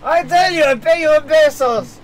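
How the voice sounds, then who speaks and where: A man's voice: extremely high pitch, normal speed, low-quality recording. A man improvising a hapless, dim stabbing victim who protests in a whiny drawl with a put-on Mexican accent. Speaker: co-player. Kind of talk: improvised comedy over gameplay.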